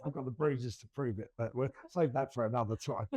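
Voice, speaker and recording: A man's voice: medium pitch, lively intonation, normal speed, darkish tone, breathy edge, no room sound, studio quality. A middle-aged man, co-hosting a casual podcast and rambling through many restarts with self-deprecating laughs. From England. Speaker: podcast co-host. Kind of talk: casual chat.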